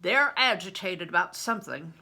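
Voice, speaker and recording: A woman's voice: lowish voice, animated, normal speed, gravelly, sharp tone, full character voice. An older woman voicing a solemn, watchful wandering medieval performer, a grown man in a storybook, grave and commanding. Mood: alert.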